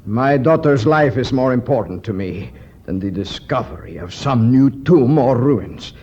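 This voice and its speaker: An actor playing an earnest, excitable middle-aged archaeologist father, scholarly and exclamatory, in 1950s radio adventure style. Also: medium pitch, a little slow, slightly rough. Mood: earnest, concerned. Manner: scholarly, exclamatory, repeating words. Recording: muffled, noisy 1950s radio recording.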